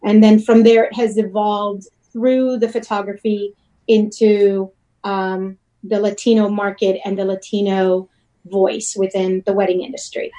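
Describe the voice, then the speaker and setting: A woman's voice: mid-range voice, level tone, measured pace, mellow, low-quality recording. A woman from Puerto Rico talking casually as an interview guest, explaining her work. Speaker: interview guest, wedding photographer and blogger.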